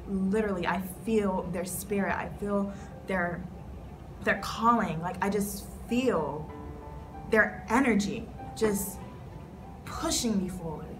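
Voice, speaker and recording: A woman's voice: mid-range voice, slow pace, over music. A woman speaking candidly in an interview, heartfelt and using "like" often. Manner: heartfelt, leans on "like" as filler. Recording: noisy ambient background. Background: background noise.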